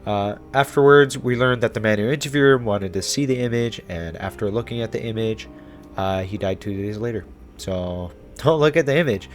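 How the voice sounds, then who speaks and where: A man's voice: fairly low pitch, normal speed, soft background music. A man hosting a YouTube explainer in a casual, irreverent, conversational way. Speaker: YouTube host. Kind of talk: casual horror series explainer.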